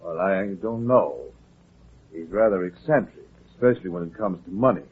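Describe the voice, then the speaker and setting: An actor playing a nervous, evasive doctor, flustered and hesitant, in classic radio drama. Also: male voice, deep voice, moderate pace, breathy edge. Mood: evasive, uneasy. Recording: muffled, lo-fi vintage radio recording.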